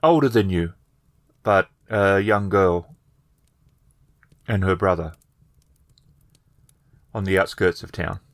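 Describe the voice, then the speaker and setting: A man voicing a plainspoken village man in a feudal fantasy Japan tabletop game, speaking in a measured, formal, halting way. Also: low voice, no echo. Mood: somber, reflective.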